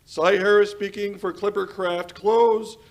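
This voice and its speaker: A man's voice: high-pitched, steady tone, unhurried. A man playing a genial, formal 1940s radio announcer with a polished scripted delivery. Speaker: announcer and host. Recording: music under the voice.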